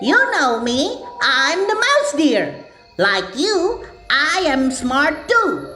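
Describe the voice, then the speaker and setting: A woman's voice: high voice, sing-song, extremely slow, exaggerated voice. A woman voicing a tiny, clever, tricky mouse deer with a coaxing, crafty manner in an audio storybook. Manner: coaxing and crafty, bouncy lilt. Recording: roomy echo with music bed.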